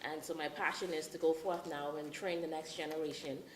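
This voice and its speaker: Woman from the Bahamas, interview guest, lowish flat voice, measured with pauses, echoey room. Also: noisy background. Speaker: guest, dance ministry leader. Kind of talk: casual interview.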